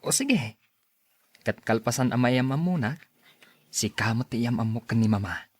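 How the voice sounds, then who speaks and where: A man acting a devoted young boyfriend who obeys his mother, pleading softly in an Ilocano radio drama. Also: mid-range voice, expressive, normal speed, breathy edge, no echo. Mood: reassuring.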